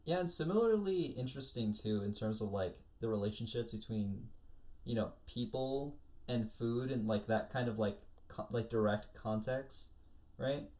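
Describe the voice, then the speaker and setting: A man's voice: mid-range voice, expressive, moderate pace, a little mellow. Chatty man telling stories in casual discussion. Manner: chatty, informal storytelling. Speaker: podcast co-host.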